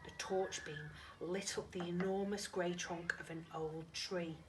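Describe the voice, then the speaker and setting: A woman's voice: lowish voice, steady tone, unhurried, far from the mic, background noise. A woman reading a children's story expressively, dramatic and addressing young listeners directly. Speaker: primary school teacher reading to children.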